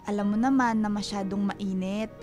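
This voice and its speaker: A woman's voice: medium pitch, steady tone, normal speed, music in the background, close to an everyday voice. An actress playing a proud, self-reliant single mother in a Filipino radio drama, fondly scolding in a motherly way. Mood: firm, motherly. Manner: motherly, chiding.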